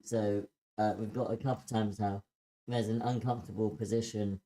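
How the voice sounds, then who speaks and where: A man giving informal feedback in a group critique, speaking in a hesitant, halting way. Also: fairly low pitch, level tone, mellow, low-quality recording. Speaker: participant giving script feedback.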